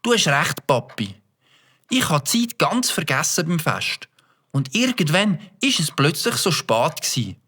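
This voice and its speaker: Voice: medium pitch, sing-song, measured pace, a bit bright, husky, full character voice. An adult voicing a young Swiss German boy who stammers with fillers, in a children's audio drama. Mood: sheepish. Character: young boy, mischievous but sheepish. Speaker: child character.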